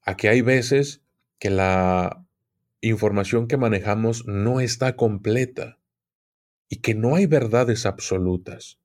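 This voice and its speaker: A man's voice: on the low side, takes it slowly. A man, a podcast guest talking casually and reflectively, explaining with everyday examples and laughing often. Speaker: podcast guest, occultism channel host. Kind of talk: casual interview chat.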